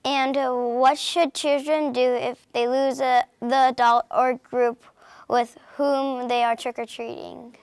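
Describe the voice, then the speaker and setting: High smooth-voiced woman reporter. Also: flat delivery, takes it slowly, no echo. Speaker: student reporter. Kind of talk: interviewing.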